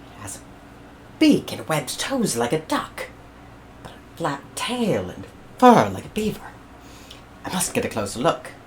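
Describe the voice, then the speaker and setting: A woman's voice: deep voice, huge pitch swings, a little slow, pauses often, slight background noise, pitched above the performer's own voice, full character voice. Woman voicing George: fussy English colonist scientist, eager and prying.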